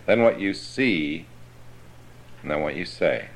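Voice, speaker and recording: Slow-paced male Bible teacher. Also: low-pitched, lively intonation, gravelly, a little hiss or hum. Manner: slow, explanatory, checks understanding with the listeners. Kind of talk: Bible class sermon.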